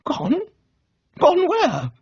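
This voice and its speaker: Imperious older English aunt played by a male reader: posh, high-pitched, exclamatory and scolding, comic radio storytelling, slightly muffled copy. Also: huge pitch swings, very slow, gravelly, darkish tone, dry recording, heavily acted. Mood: indignant, exclamatory. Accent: upper-class English. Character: imperious, melodramatic older upper-class English aunt, woman. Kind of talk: dramatised comic audiobook reading for radio.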